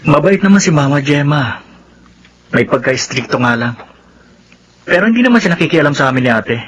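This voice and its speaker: An easygoing young Filipino son in a radio drama. He speaks respectfully in a slightly high male voice, with faint ambience behind him. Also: animated, brisk, fairly bright tone, slight background noise. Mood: calm, reassuring. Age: young adult. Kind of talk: Filipino radio soap opera acting.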